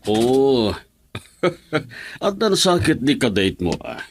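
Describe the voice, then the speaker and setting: Radio drama uncle, male actor: middle-aged Filipino, stern and opinionated, highly animated intonation. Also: drawn-out words, full character voice. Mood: jovial.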